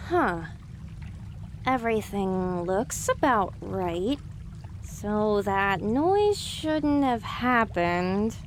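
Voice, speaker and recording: A woman's voice: medium pitch, sing-song, very slow, a little hiss or hum. A woman voicing a young female pony in an audio drama, wry, sarcastic and exasperated. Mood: puzzled.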